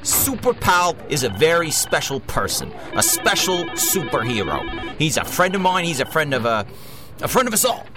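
A man's voice: on the high side, huge pitch swings, moderate pace, music in the background. A man playing a pushy, defensive showbiz agent in a comedic audio drama, piling up emphatic repeated phrases. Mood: boastful, pushy.

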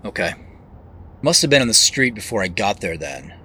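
An actor playing a wry, reflective man who narrates his own mystery in an audio drama, in measured phrases. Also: on the low side, sing-song, sharp tone, a little hiss or hum. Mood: wry, dry. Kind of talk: first-person scripted audio drama narration. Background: music.

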